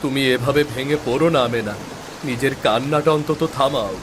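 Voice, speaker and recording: A man's voice: medium pitch, brisk, close to an everyday voice. A man voicing a poor, devout village father in a moral-story cartoon, earnest and reassuring. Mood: consoling, hopeful. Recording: clear rain noise behind.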